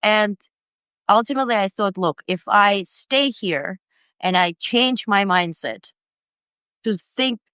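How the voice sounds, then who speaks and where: A woman journalist from Ukraine, a native Ukrainian or Russian speaker, talking through her story in a relaxed interview with many fillers and restarts. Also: mid-range voice, slow pace, smooth, no echo. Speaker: independent journalist, guest. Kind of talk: conversational interview.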